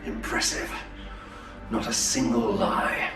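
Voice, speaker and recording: An actor playing a sly, haughty space-elf schemer in a video game, measured and condescending. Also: male voice, natural voice. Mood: sly, flattering. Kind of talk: video game character acting. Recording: heavy echo, distant, music behind.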